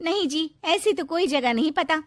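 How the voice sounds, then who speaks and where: A woman's voice: high voice, expressive, moderate pace, dry recording, clean studio sound, voice pushed up. A woman voicing a worried, pleading village mother in a cartoon moral story. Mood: alarmed. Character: anxious village mother searching for her son.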